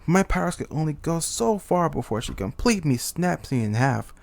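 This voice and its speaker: A man's voice: a little high, very animated, moderate pace, creaky, a little mellow. A man voicing a haughty, self-pitying demon king who was once human, in a theatrical, exasperated dramatic monologue. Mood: indignant. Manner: theatrical, exasperated, sighing, lofty speech slipping into slang. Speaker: voice actor. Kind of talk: dramatic character monologue for a voice reel.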